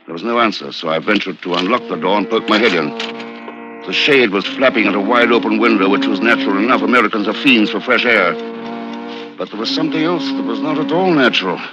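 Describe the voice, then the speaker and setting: An actor playing a courteous, sceptical British gentleman with a dry, understated manner, in 1950s radio drama style. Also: lowish voice, close to an everyday voice. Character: unflappable, polite, sceptical British gentleman, narrating his adventure. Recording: muffled 1950s radio recording, music behind.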